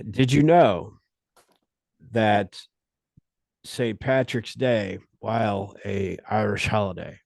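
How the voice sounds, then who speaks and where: A middle-aged man hosting a casual podcast chat, rambling and halting as he talks. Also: fairly low pitch, gravelly, darkish tone, no room sound. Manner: rambling, halting, restarts often. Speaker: podcast host.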